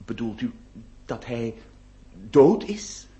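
A man acting in a radio play as an excitable, distraught nineteenth-century gentleman, stammering and melodramatic. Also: medium pitch, takes it slowly. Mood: dejected. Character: distraught, excitable 19th-century gentleman who lost his nose. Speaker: radio drama actor. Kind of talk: theatrical Dutch-language radio play acting.

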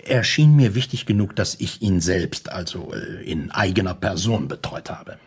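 Radio-play detective: low, husky, circumspect, over-polite and hesitant. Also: male voice, lively intonation, normal speed, heavily acted. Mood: politely reserved. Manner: stiffly polite, hesitant, over-formal phrasing. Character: formal, circumspect male private detective. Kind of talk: German radio play acting.

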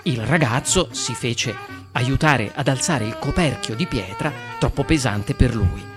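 A man reading a fairy tale aloud in expressive storytelling narration. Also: mid-range voice, a little slow, music in the background. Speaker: guest reader of fairy tales.

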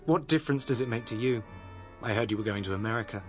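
A man's voice: mid-range voice, fast talker, soft background music, lightly acted. An actor in a radio drama playing a restless, disillusioned, impulsive young male composer. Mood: hurt, tense.

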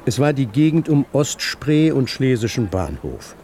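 A man's voice: medium pitch, big pitch swings, normal speed, dark tone, a little hiss or hum. A man performing as a grave literary narrator in a radio drama. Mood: grave narration.

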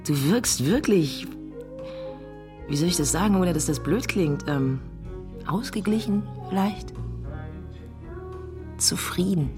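A thoughtful woman writer in a radio play. Her voice is low, with an intimate, natural, conversational delivery. Music plays clearly behind her. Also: animated, slow, long pauses. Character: busy woman writer and teacher, thoughtful, frank.

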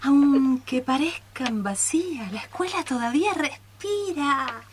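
High, unhurried hopeful woman, acted. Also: animated, slight background noise. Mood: hopeful, earnest. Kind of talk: amateur radio theatre acting.

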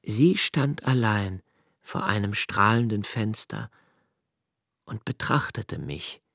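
An actor playing a reflective young male diarist, narrating his memories in a literary radio drama with measured, deliberate delivery. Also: lowish voice, animated, gravelly, a bit bright, no echo, clean studio sound, heavily acted. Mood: hushed, reflective.

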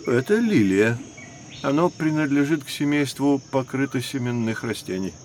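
An actor playing a fussy, kindly professor who guides children in an educational audio drama, excitable and exclamatory, yet gentle when explaining. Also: male voice, medium pitch, normal speed, slight background noise, natural voice.

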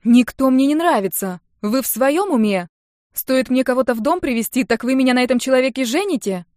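A woman's voice: fairly high pitch, expressive, normal speed, dry recording, clean studio sound, voice pushed up, natural voice. A woman voicing an indignant, combative young woman who quarrels with her family in exasperated exclamations, in an audiobook. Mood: defensive.

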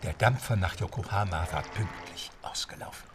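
A man's voice: sits low, normal speed, recorded from a distance, background noise. A man narrating a scripted adventure story in a dramatic storytelling style.

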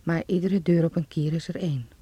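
A young son in a radio play, reading his letters home to his mother. The voice is dark and mellow, recorded close and dry. He sounds intimate, reassuring and reflective. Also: fairly high pitch, fairly even intonation, fairly quick, breathy edge, no room sound, dull audio. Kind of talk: radio drama letter monologue.

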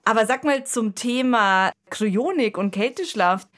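A woman's voice: a little high, animated, measured pace, a bit bright. A woman hosting a conversational podcast discussion, explaining things in an informal way. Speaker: podcast host.

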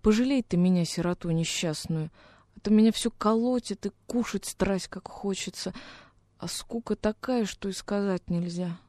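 A woman's voice: medium pitch, normal speed, a little mellow, no room sound, natural voice. A woman playing a homesick young peasant orphan boy in a radio drama, plaintive and naive, with rustic folk speech. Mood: miserable pleading. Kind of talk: dramatic radio reading of a classic story.

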